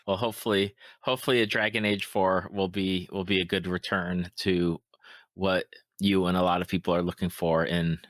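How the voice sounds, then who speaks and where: A man with a low voice hosts a casual podcast chat. He speaks haltingly, often doubling back on his words, in a dry recording. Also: flat delivery, fairly bright tone, no echo. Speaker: podcast host. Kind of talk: casual chat about video games.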